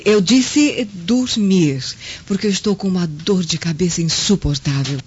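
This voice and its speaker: An actress playing a meek, weary Roman wife who speaks hesitantly and evasively in a radio drama. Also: female voice, fairly low pitch, lively intonation, measured pace, darkish tone, husky. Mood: flustered, evasive. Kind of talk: Brazilian radio drama acting. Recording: dull, lo-fi audio.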